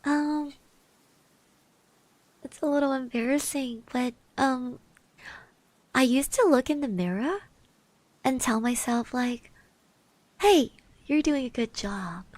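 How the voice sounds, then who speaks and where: Woman playing a slow, soft-spoken shy schoolgirl classmate. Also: high voice, dry recording. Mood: shy, encouraging. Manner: hesitant, bashful, gently encouraging. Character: shy, nervous, caring schoolgirl classmate cheering you on. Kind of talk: soft ASMR roleplay, partly whispered.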